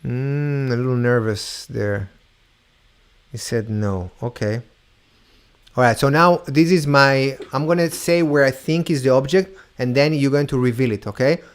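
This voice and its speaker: A man's voice: medium pitch, clear, resonant tone. A man with an Argentinian accent, partly Hebrew-tinged, hosting a playful mentalist show over a video call, teasing guests and drawing out his reveals. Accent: Argentinian with Hebrew influence. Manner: teasing, upbeat, draws out reveals slowly.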